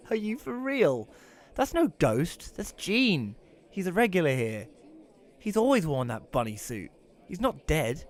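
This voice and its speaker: A man's voice: sits high, wildly swooping pitch, slow, pauses often, exaggerated voice. A man playing an awkward, reclusive widower who narrates his own audio drama in an informal, conversational way. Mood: amused. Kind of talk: first-person audio drama narration and dialogue.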